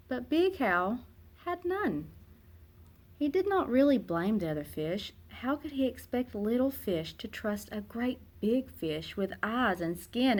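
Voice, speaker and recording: A woman narrating a children's picture book aloud in an expressive, dramatic way, with emphatic pauses and hushed phrase endings. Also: fairly high pitch. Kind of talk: expressive storybook read-aloud.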